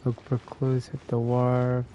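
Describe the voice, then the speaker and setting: A man's voice: mid-range voice, monotone, slow, dull audio, a little hiss or hum. A man streaming a game, making casual, offhand live commentary. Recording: faint game music behind the voice.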